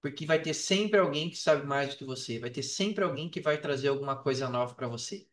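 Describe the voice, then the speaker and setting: A Brazilian man with a high-pitched voice gives casual advice as a podcast guest. He talks in slangy, emphatic phrases that he likes to repeat. The sound is roomy and echoing, as if he sits back from the mic. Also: expressive, a little fast. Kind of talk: casual interview talk, giving advice. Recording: roomy echo, distant from the mic.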